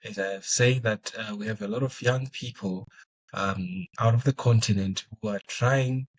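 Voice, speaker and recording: A man's voice: fairly low pitch, moderate pace, slight background noise, low-quality recording. A young man from Zimbabwe whose first language is Shona, answering interview questions thoughtfully with many fillers and restarts. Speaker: guest.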